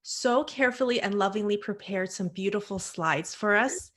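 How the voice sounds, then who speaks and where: A woman from Canada hosting a casual video call interview, encouraging, with quick affirmations and laughter. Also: mid-range voice, fairly bright tone. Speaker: interview host.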